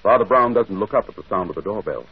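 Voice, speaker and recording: Man, old-time radio narrator, animated delivery, scripted, muffled lo-fi broadcast. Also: lowish voice, fast talker, husky. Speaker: announcer and narrator. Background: music.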